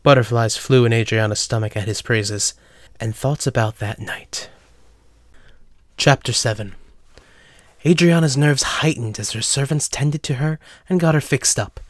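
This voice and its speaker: Mid-pitched man narrating a story. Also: measured pace, no room sound, clean studio sound. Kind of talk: scripted storybook narration of an audio drama.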